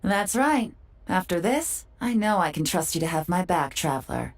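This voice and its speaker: Poised, low-voiced game intelligence agent. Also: female voice, big pitch swings, normal speed. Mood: warm, teasing. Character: poised, urbane female intelligence agent, relaxed and teasing. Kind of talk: video game voice acting. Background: background music.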